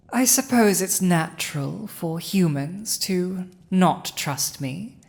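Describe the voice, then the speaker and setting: A woman playing a villainous dragon ruler in an intimate audio roleplay, speaking in a deliberate, dominant, teasing manner. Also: lowish voice, vocal fry, a little mellow, full character voice. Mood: amused, sardonic.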